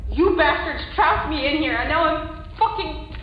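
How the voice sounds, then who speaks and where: A distraught, trapped woman in an audio drama, pleading in panic. Her voice is high and rings through heavy echo, slightly muffled with faint noise around it. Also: expressive, normal speed. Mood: hysterical, angry. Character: terrified female test subject trapped in moving columns. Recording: very echoey, muffled, some background noise.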